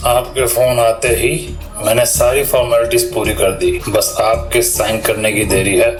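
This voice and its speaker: An actor playing a loyal family steward of a royal house, respectful, formal and earnest, in a Hindi audio drama. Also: male voice, mid-range voice, little pitch movement, a little slow, faint music behind, close to an everyday voice. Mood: deferential.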